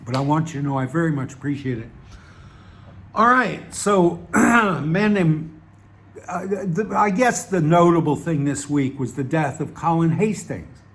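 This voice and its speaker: A man's voice: fairly high pitch, unhurried, fairly bright tone. Rambling wry Southern American man. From Southern United States. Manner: wry, digressive, many fillers and restarts. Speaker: channel host. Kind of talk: rambling casual monologue.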